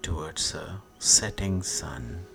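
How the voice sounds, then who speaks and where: A man guiding a sleep meditation, speaking soothingly in short hushed phrases with long pauses, often fading into a breathy whisper. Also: deep voice. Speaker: meditation guide. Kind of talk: guided sleep meditation narration. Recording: music behind the voice. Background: meditation music.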